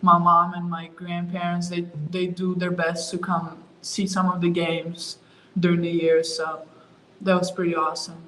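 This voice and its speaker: A young woman from Ukraine answering interview questions about her move and her sport, talking in a relaxed way with lots of fillers. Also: on the low side, normal speed, slight background noise. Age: young adult. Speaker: college basketball player, interview guest. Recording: roomy with faint ambience.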